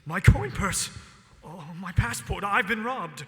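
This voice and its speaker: A man playing an idealistic, gentle young immigrant inventor in a stage musical, earnest and declamatory, singing and speaking. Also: high voice, animated, a little slow, slight background noise, heavily acted. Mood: alarmed. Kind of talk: musical theatre staged reading, sung and spoken. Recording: reverberant theatre hall with music.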